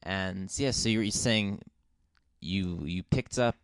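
American male podcast host, low creaky voice, casual interviewer delivery, close dry sound. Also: takes it slowly, no echo. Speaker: podcast host, music journalist.

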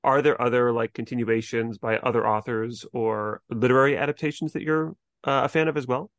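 A woman's voice: very deep voice, steady tone, fairly quick, no echo, clean studio sound. A woman hosting a podcast, putting casual, conversational questions to a guest. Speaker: podcast host.

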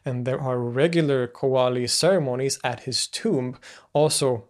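A man narrating an educational video in a measured lecture style, with occasional fillers and self-corrections. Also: medium pitch.

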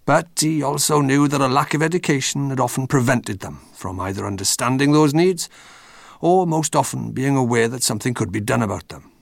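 Male documentary contributor, mid-pitched with a creaky edge, explaining in measured phrases, dry recording. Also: no echo.

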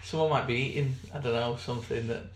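A man co-hosting a podcast in casual banter, his voice slightly hoarse, with a dry, teasing manner. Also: mid-range voice, steady tone, fast, reverberant, a little hiss or hum, rough recording. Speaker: podcast co-host.

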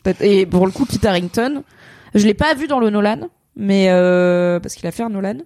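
A woman's voice: mid-range voice, moderate pace, darkish tone, no echo. Chatty woman, dry close sound. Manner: talkative, explains at length with many fillers. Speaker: podcast host. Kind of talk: casual pop-culture chat.

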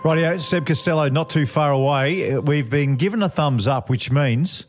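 A man's voice: on the high side, moderate pace, faint music behind. An Australian man hosting a podcast in casual comic banter, given to mock-outraged exaggeration. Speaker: podcast host.

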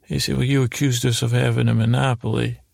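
A man hosting a bedtime podcast, rambling haltingly through pointless, silly asides in a creaky, gravelly voice meant to lull listeners to sleep. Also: mid-range voice, level tone, darkish tone, dry recording, clean studio sound. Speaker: podcast host.

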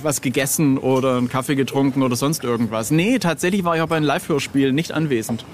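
A man's voice: medium pitch, quick pace, slight background noise. A middle-aged man chatting casually as an interview guest, telling anecdotes in a jokey, self-deprecating way.

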